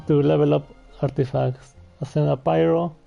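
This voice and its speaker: A man giving casual, halting gameplay commentary and reading on-screen text aloud. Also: a little high, fairly even intonation, gravelly, warm tone, muffled recording, soft background music. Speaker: video game streamer.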